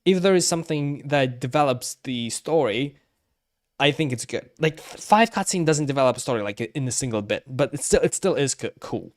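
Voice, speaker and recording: A man livestreaming casual commentary on game cutscenes, talking haltingly and often repeating himself. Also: medium pitch, animated. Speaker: streamer.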